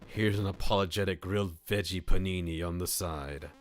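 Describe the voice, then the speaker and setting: A man's voice: sits low, normal speed, close to an everyday voice. A man voicing an anxious, apologetic skeletal cafe worker in a visual novel, fretful and earnest. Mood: worried. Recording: music playing behind the voice.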